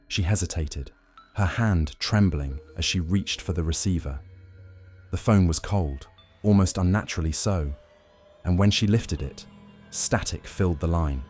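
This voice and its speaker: A man narrating a scripted ghost story in a slow, ominous storytelling manner. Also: sits low, darkish tone. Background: faint music.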